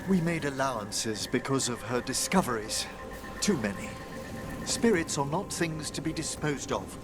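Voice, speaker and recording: An actor playing a gentle, bookish gentleman necromancer in a video game, speaking in a formal, measured, eloquent way. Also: mid-range voice, lively intonation, music in the background, close to an everyday voice. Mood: earnest, gentle. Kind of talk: video game dialogue acting.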